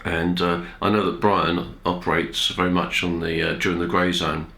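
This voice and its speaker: Male explainer host, bright low voice, measured and hesitant, roomy echoey recording. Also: level tone, vocal fry. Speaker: amateur radio video host. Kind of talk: informal technical explainer. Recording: roomy, echoey indoor sound.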